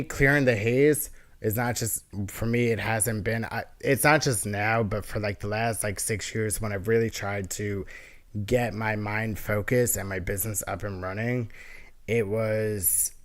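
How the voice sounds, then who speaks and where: A man's voice: on the low side, fairly even intonation, measured pace. Nasal man, earnest and rambling. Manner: rambling, earnest, many restarts. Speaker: podcast guest, artist. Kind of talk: casual interview chat.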